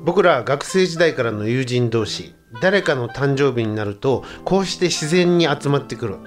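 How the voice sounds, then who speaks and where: Sensitive young friend for radio drama, played by a man: boyish, casual, very lively intonation, light music bed. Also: mid-range voice, moderate pace, lightly acted. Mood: reflective. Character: sensitive young man among old friends, narrating his worries. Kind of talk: Japanese radio drama acting with inner monologue.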